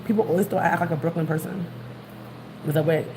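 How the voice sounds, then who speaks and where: New york lady rambling on a live stream, kinda low voice, noisy street sound behind her. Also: fairly even intonation, quick pace. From New York City, Queens. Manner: rambling, chatty, greets viewers. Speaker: vlogger. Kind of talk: casual livestream chat. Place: outdoors on the street. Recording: noisy background.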